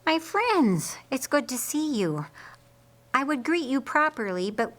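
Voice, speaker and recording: A woman narrator playing a genial, teasing man in a Bible story, hearty and unhurried. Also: sits high, sing-song, a bit bright, voice pushed up, heavily acted.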